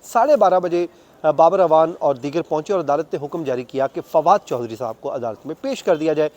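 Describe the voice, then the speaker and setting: A man's voice: a little high, expressive, a little fast, darkish tone. A man with a Pakistani accent giving an unscripted, conversational news account as a court reporter, going through things step by step. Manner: conversational, detailed step-by-step recounting with English legal terms mixed in.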